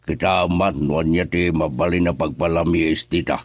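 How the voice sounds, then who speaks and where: A man's voice: deep voice, fairly even intonation, normal speed, no room sound. An actor playing an older Ilocano father in a radio drama, talking in a plain, grumbling way.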